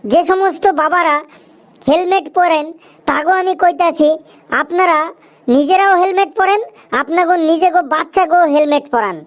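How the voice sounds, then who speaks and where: Chatty young cartoon pal, extremely high-pitched, eastern Bengali dialect, earnest, scolding, colloquial. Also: moderate pace. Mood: earnest, preachy. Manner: preachy, emphatic, colloquial. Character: talkative food-loving young cartoon friend, earnest and scolding. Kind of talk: cartoon dialogue with moral advice.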